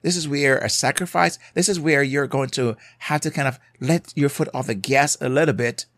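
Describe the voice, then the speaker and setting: A Jamaican man coaching couples on his podcast, earnest and conversational, with a voice of middling pitch. The sound is close and dry, with no room behind it. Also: moderate pace, fairly bright tone, dry recording. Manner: earnest, conversational, checks in with listeners. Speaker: marriage coach and podcast host. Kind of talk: solo podcast advice talk.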